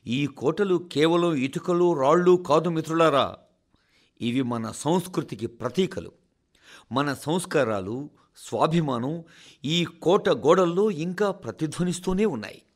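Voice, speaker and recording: A man reading a scripted, formal address for radio in a solemn, oratorical manner, with measured phrases and dramatic pauses. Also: on the high side, animated. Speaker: radio reader of a translated national address.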